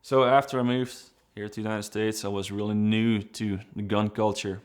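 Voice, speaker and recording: A man from Belgium, an engineer, telling his own story in a relaxed, reflective way with fillers and restarts. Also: on the low side, normal speed, smooth. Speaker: trigger design engineer. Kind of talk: documentary-style personal narration. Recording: music bed underneath. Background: guitar music.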